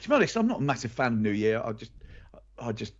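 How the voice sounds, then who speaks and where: English bloke on a podcast, easygoing, lots of ums, audio a bit dull. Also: male voice, medium pitch, quick pace, husky. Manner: relaxed, hesitant with fillers. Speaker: guest co-host. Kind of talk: casual podcast chat.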